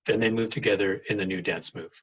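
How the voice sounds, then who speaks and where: A man with a husky voice reading stage directions as scripted narration for a play. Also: on the low side, steady tone, quick pace, fairly bright tone, lo-fi sound.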